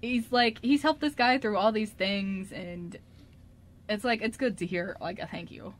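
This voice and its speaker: A woman's voice: mid-range voice, measured pace. A woman talking casually with friends on a radio show. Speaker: radio show cast member and writer. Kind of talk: casual group chat.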